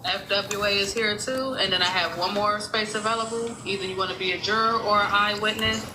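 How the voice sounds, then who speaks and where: A woman's voice: medium pitch, fairly even intonation, a little fast. A woman hosting a mock courtroom on a live stream, calling up jurors and keeping order. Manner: directive, runs the proceedings. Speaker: mock court judge, host. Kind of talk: casual livestream hosting. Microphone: phone on live stream. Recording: echoey room with noise.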